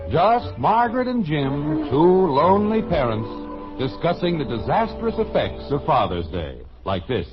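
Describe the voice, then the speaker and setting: High-pitched, animated male vintage radio announcer. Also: measured pace. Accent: American. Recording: muffled old radio broadcast, music behind. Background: music.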